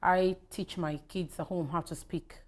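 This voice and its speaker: A woman's voice: fairly low pitch, fairly even intonation, a little slow, darkish tone. A middle-aged Nigerian woman, a native Yoruba speaker, answering interview questions conversationally in an emphatic, repetitive way. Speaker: talk show guest, investment banker.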